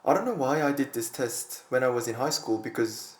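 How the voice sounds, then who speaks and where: Guy teaching a lesson to camera, mid pitch, kinda echoey room. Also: a little fast. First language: Korean. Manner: steady, explanatory, addresses viewers directly. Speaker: Korean language teacher. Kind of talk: scripted online lesson.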